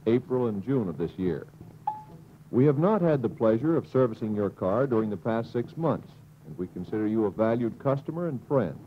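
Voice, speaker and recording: Man formally reading, muffled vintage soundtrack. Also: medium pitch. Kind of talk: formal letter read aloud. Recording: dull vintage film soundtrack, faint hiss.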